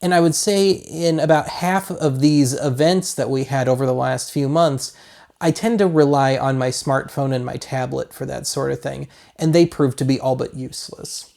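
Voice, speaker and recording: A man's voice: medium pitch, steady tone, moderate pace, vocal fry, darkish tone. A man in his thirties from Colorado with an American accent, chatting casually through a product review in a wry, rambling way. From Colorado, United States. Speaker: host of a hobby video series.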